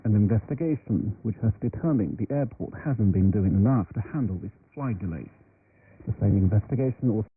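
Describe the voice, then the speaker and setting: A man reading scripted news bulletins on the radio. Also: lowish voice. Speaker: newsreader. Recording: muffled shortwave radio with faint noise.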